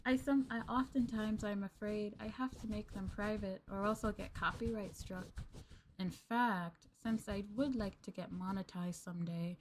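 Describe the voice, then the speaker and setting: A woman's voice: medium pitch, fairly even intonation, moderate pace, a little hiss or hum, rough recording. A woman streamer chatting casually with her viewers, addressing them as Masters and filling her talk with ums and likes. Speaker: VTuber streamer.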